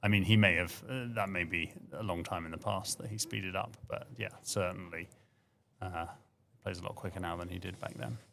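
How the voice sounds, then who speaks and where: A man commentating on a livestream in a relaxed, chatty way, often breaking off and restarting his sentences and making light of himself. His voice sits on the low side and has a gravelly edge. A faint hiss of noise runs underneath it. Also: normal speed. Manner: chatty, self-deprecating, trails off and restarts. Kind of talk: casual sports commentary.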